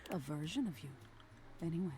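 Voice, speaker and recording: A woman voicing an eerie nightmare spirit in a video game, telling a soft, ominous tale in a hushed, whispery voice. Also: low voice, expressive, husky, heavily acted. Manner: slow, soothing, ominous storytelling. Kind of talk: game character narration.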